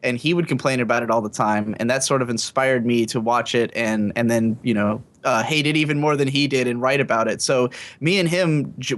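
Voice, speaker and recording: A man's voice: medium pitch, a bit bright. A man talking casually as a podcast guest, rambling along and chuckling as he goes. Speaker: podcast guest, video maker.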